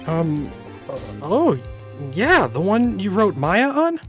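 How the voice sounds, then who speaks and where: A man's voice: high voice, wildly swooping pitch, slow pace, a bit bright, soft background music, pitched above the performer's own voice, exaggerated voice. Man playing an earnest, emphatic young defence lawyer. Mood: flustered. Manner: emphatic, pleading. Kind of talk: amateur video game dub.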